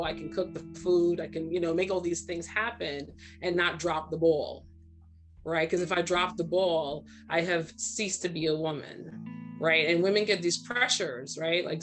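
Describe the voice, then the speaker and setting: A woman in her forties speaks as a panel guest in a fairly low voice. She tells personal stories candidly and conversationally, often tagging her points with "right?". Soft plucked guitar plays faintly behind her. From Brooklyn and Trinidad. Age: 40s.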